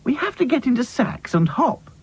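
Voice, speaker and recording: A man voicing a bossy, snappy female rag doll who gives orders briskly in a children's cartoon. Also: very high voice, very animated, husky, pitched above the performer's own voice, full character voice. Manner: brisk, commanding, scolding. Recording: dull lo-fi audio with music.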